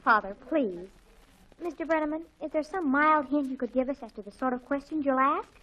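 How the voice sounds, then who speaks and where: An actress playing an excitable, gushing, overdramatic teenage girl in 1940s radio comedy style. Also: high-pitched, brisk, lightly acted. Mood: indignant pleading. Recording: dull old 1940s radio recording.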